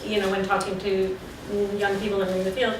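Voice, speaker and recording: A woman's voice: mid-range voice, little pitch movement, moderate pace. A woman designer on a panel who speaks hesitantly and restarts her sentences. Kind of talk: casual panel discussion. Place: lecture room. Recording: echoey room, distant mic, background noise.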